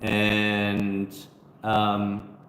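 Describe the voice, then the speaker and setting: Brief: thirties male travel vlogger, relaxed hesitant live Q&A on a phone, roomy echo, faint background ambience. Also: lowish voice, extremely slow, slight background noise. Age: 30s. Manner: relaxed, hesitant with many fillers and restarts. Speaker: travel vlogger answering viewer questions. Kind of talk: casual live stream Q&A. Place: busy hostel co-working space. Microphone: phone camera.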